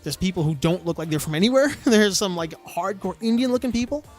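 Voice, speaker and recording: A man co-hosting a podcast, telling a story in casual chat, animated and full of fillers. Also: high voice, quick pace, faint music behind. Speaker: podcast co-host.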